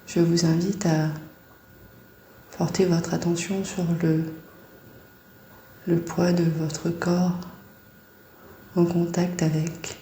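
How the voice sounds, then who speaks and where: A woman guiding a body scan meditation in a calm, soft-spoken, unhurried way with long pauses. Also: lowish voice, echoey room, slight background noise.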